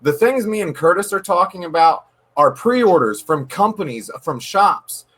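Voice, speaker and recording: A man's voice: high voice, expressive, normal speed, a bit bright. A man, a card shop owner guest, talking earnestly and emphatically in a casual interview, often repeating words as he thinks. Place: dark room.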